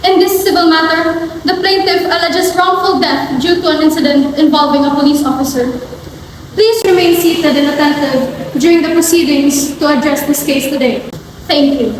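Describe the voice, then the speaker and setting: A woman acting as a stern female court officer with a very high voice and a Filipino accent. She makes formal courtroom announcements in a student drama, in a noisy, reverberant hall. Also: normal speed, close to an everyday voice. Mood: formal, commanding. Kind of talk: formal scripted stage announcement. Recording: echoey hall, noisy amateur film sound.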